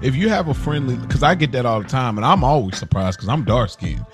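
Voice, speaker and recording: An American man with a mid-pitched voice chats as a podcast co-host. His delivery is informal and slangy, like talk between friends. Music plays clearly behind him. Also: expressive, fast talker. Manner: slangy, conversational. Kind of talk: casual chat. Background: music under the talk.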